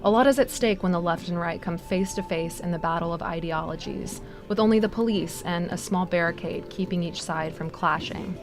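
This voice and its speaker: A woman's voice: lowish voice, fairly quick, hardly any pauses. A woman journalist reading a scripted news narration. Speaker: broadcast journalist. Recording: music behind the voice. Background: music.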